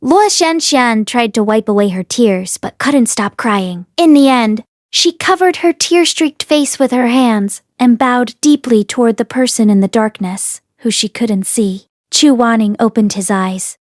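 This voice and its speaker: A woman reading a story aloud as an audiobook narrator, in an expressive scripted style. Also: on the high side, unhurried, hardly any pauses, no echo, clean studio sound. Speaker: audiobook reader and host.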